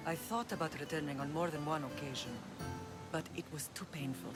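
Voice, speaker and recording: A woman playing a middle-aged Greek mother who rules an island, measured and solemn, guarded but tender, in a game cutscene. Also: deep voice, over loud music, close to an everyday voice. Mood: earnest, regretful. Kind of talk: dramatic video game cutscene acting.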